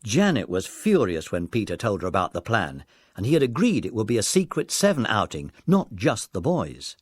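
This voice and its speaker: Lively male storyteller, dry studio sound. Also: lowish voice, moderate pace, no room sound. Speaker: narrator. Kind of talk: scripted children's audiobook narration.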